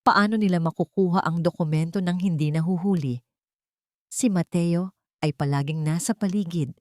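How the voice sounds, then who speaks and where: A woman narrates a dramatic story. Her voice sits a little low for a woman, and the sound is dry and close, as scripted narration. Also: moderate pace, darkish tone, dry recording.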